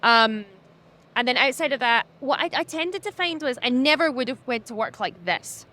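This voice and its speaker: A young woman with a high-pitched voice, talking candidly as a podcast guest, animated and laughing often. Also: normal speed. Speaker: podcast guest, social media business owner. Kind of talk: candid conversational interview.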